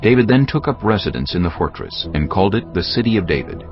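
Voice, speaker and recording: A man narrating scripture in a solemn, measured storytelling style, with a gravelly voice. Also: sits low, expressive. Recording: dull, lo-fi audio. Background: faint music.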